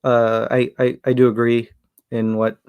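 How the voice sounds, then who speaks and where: A man from Sri Lanka hosting a casual livestream, reading the chat aloud and talking in a halting way with many fillers and restarts. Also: mid-range voice, monotone, smooth, lo-fi sound. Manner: hesitant, many fillers and restarts, chuckles often.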